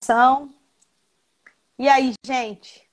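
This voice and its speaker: A woman's voice: high-pitched, fairly even intonation, drawn-out words. A woman from Rio de Janeiro state with a Minas Gerais accent, a professor hosting a live video-call interview, chatty and affectionate. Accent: Minas Gerais Portuguese. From Rio de Janeiro state, Brazil. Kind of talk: warm casual live-stream interview.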